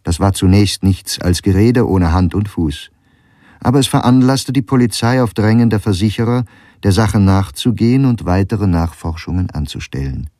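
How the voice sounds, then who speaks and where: Guy narrating a documentary, low warm voice, really dry sound, measured, bit of music. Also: no room sound. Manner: measured, factual storytelling.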